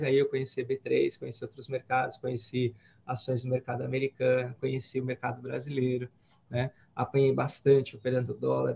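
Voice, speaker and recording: Man, 40s, gravelly voice, easygoing rambling storyteller, dull video call sound. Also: medium pitch, slight background noise. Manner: relaxed, rambling, many fillers and restarts. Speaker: guest trader. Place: home office with window. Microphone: headset on a video call.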